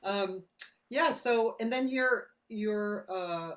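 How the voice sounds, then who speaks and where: Enthusiastic woman hosting a chat. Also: medium pitch. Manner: enthusiastic, quick to react with "wow". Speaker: host. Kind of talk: casual interview chat.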